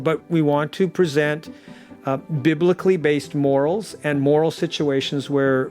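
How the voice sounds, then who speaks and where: A man's voice: on the high side, darkish tone. A man in a casual interview, telling anecdotes and acting out both sides of conversations. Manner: acts out dialogue as he tells stories. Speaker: audio drama director, interviewee.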